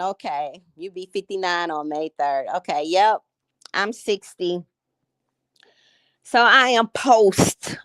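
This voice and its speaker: A woman's voice: on the low side, clean tone, no echo. A woman in her late fifties from Gary, Indiana, chatting casually with her livestream viewers and laughing often. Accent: Northern Indiana, Gary. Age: 50s. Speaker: livestream host.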